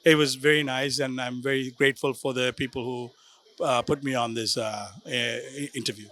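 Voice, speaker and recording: A man's voice: medium pitch, steady tone, moderate pace, fairly bright tone. A man, a documentary cast member, giving brief, simple answers.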